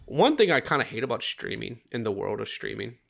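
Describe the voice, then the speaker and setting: A man with a raspy voice co-hosting a film podcast, talking casually and acting out little shouted bits. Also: lowish voice, fairly quick, faint music behind. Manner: lively, shouts bits in impressions. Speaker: podcast co-host. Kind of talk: casual chat.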